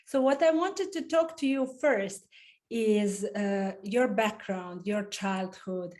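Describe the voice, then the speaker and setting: Woman host asking interview questions, kinda halting, searching for words, average pitch. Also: darkish tone. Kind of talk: conversational interviewing.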